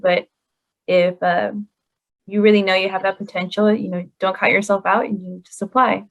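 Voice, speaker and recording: A woman's voice: medium pitch, creaky. A woman hosting a Q&A on a video call, reading audience questions aloud and using many "you know" fillers. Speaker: host. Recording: dull lo-fi call audio.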